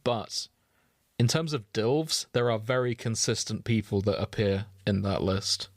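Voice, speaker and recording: A man's voice: lowish voice, a little slow. Man in casual, sweary podcast banter. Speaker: podcast co-host.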